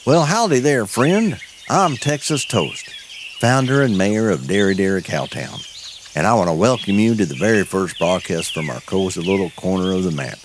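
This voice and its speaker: A man's voice: deep voice, lively intonation, normal speed, background noise. A man playing a friendly, folksy cowboy mayor welcoming listeners to his little cow town. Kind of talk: scripted audio story.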